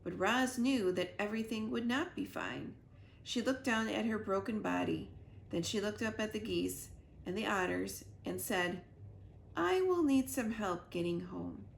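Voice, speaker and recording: A woman reading a children's storybook aloud with expressive narration, recorded in a roomy living room. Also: medium pitch, moderate pace, vocal fry. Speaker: reader, school librarian or teacher. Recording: roomy echo, faint background noise.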